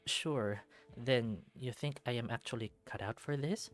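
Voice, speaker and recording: A man's voice: mid-range voice, measured pace, soft background music, natural voice. A man playing an anxious, unsure male college student who narrates his thoughts hesitantly and informally in a visual novel. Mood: uneasy.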